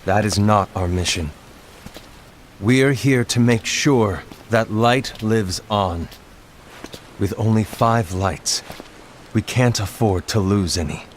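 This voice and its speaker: Stern male game leader, lowish voice, measured and firm. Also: a little hiss or hum. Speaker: game character. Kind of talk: video game voice acting.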